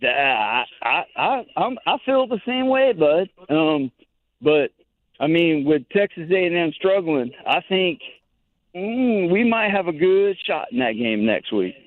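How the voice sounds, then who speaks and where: A man's voice: fairly high pitch, sing-song, dry recording. Chatty caller guy, stumbles a bit, phone line sound. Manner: chatty, halting. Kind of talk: phone-in sports chat.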